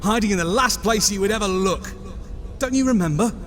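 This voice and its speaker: A terrified, bitter fugitive god with an extremely high voice. He pleads and accuses in a frantic way. Music runs beneath him in this comic-book audio drama. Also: brisk, fairly bright tone. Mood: terrified, accusing. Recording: music under the voice.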